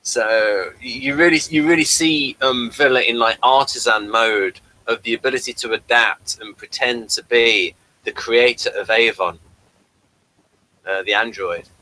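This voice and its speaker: Male review host, bright forward voice, halting and rambling, informal chat. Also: mid-range voice, poor audio.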